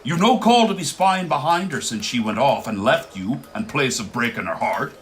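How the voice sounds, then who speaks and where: An actor playing a gruff, bossy Irish village blacksmith in a radio play, speaking in a scolding, emphatic way. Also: male voice, mid-range voice, lively intonation, moderate pace, a bit bright, a little hiss or hum, close to an everyday voice. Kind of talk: radio play acting of rural Irish dialect.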